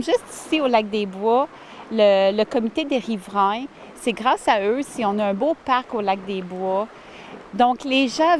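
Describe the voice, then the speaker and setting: A woman, answering interview questions warmly and earnestly outdoors by a lake, with many fillers and restarts. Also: on the high side, slight background noise. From Ottawa, Canada. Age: 40s. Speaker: village mayor, interviewee.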